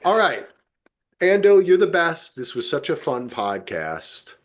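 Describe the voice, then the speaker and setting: A man's voice: on the high side, sing-song, unhurried. A man from New Jersey with an American accent, chatting casually as a podcast host with sweary, buddy-like banter. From New Jersey, United States.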